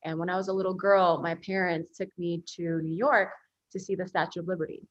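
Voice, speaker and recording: A woman's voice: on the low side, steady tone, brisk. Earnest woman attorney, dull lo-fi sound. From United States. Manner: earnest, many ums and you-knows. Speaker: attorney, interview guest. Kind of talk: podcast interview.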